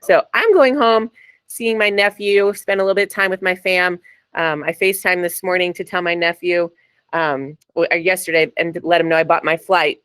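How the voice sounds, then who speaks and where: A woman with a raspy voice hosting a casual office sales meeting over a video call, chatty and upbeat with frequent ums. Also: lowish voice, fairly even intonation, normal speed, a bit bright, no room sound. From New Jersey. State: raspy this morning.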